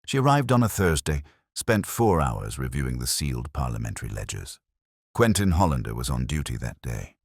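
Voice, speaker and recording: A man's voice: rumbling low, very animated, normal speed, breathy edge, dry recording, clean studio sound, heavily acted. A man voicing an earnest, genteel Victorian woman in an audiobook, with a polite, reserved and formal manner. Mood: reserved, recollecting. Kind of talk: audiobook character dialogue.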